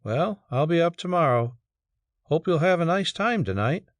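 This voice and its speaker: A man playing an earnest, higher-voiced young suitor. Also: sing-song, moderate pace, dry recording. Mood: friendly, earnest. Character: friendly, earnest young man from a classic novel. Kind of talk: dramatic audiobook character reading.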